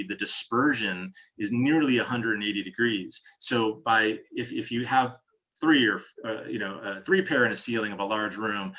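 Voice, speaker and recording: A man's voice: mid-range voice, bright, forceful tone. An American man from New Jersey speaking as a company executive in an interview, explaining products conversationally and often restarting his phrases. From New Jersey, United States.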